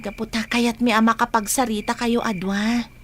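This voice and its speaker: A woman's voice: a little high, flat delivery, normal speed, slight background noise, natural voice. A woman playing a chatty, supportive young best friend in an Ilocano radio drama. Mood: apologetic.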